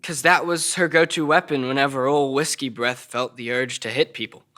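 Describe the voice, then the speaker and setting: A man's voice: mid-range voice, fairly even intonation, normal speed, pushed and bright, close to an everyday voice. A man acting a defiant, foul-mouthed teenage boy accused of murder, sarcastic and slangy, in a crime drama table read. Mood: exasperated.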